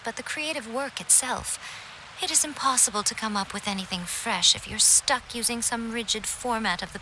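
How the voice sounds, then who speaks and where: A woman playing a sly, persuasive, teasing festival organizer in a video game, speaking in a measured and eloquent way. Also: a little high, noisy background.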